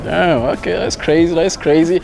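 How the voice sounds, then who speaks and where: A man's voice: on the high side, level tone, moderate pace, gravelly, darkish tone, faint music behind. A man in his twenties chatting casually to a vlog camera in heavy street slang, joking and repeating catchphrases. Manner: repeats "you know what I'm saying", joking, hyped. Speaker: vlogger, host. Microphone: camera held at arm's length.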